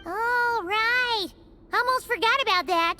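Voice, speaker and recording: A woman voicing a tiny, excitable, childlike fairy companion in a video game, with a squeaky, piping voice. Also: slow, exaggerated voice. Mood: inquiring.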